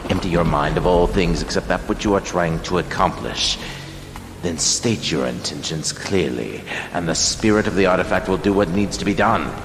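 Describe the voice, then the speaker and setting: An actor playing a grave, insistent mystic counsellor in a game cutscene, solemn and portentous. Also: male voice, low-pitched, expressive, moderate pace, music in the background. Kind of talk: dramatic game cutscene acting. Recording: long echoing reverb, loud background noise.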